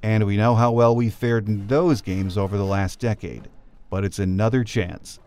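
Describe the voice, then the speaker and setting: A man's voice: on the low side, fairly even intonation, moderate pace, darkish tone. An American man hosting a sports podcast, giving prepared commentary and introducing his interview.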